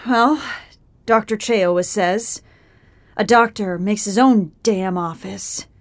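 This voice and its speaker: Woman playing pampered female cat, audio drama, earnest, hesitant, mid pitch, unhurried. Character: hesitant, polite pampered female house cat, aspiring doctor.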